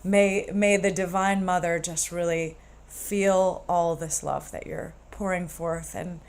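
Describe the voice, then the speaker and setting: Effusive woman gushing warm praise. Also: fairly low pitch, fairly even intonation, slow pace. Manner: effusive, gushing, trailing off softly. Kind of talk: heartfelt casual chat.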